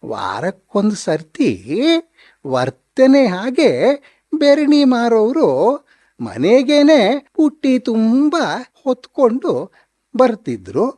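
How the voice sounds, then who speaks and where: A slow, animated storyteller narrating a humorous radio drama. The pitch moves a lot from phrase to phrase. The sound is close and dry. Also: dry recording.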